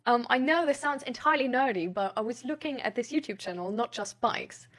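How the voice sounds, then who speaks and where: High-voiced woman chatting casually. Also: expressive, brisk, fairly bright tone. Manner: informal, thinking aloud, frequent "like". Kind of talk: casual rambling chat.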